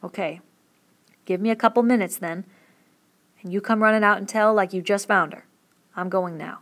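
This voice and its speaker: A woman voicing a weary, quiet ranch worker who speaks in a soft rural drawl. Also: mid-range voice, moderate pace, lightly acted. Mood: urgent.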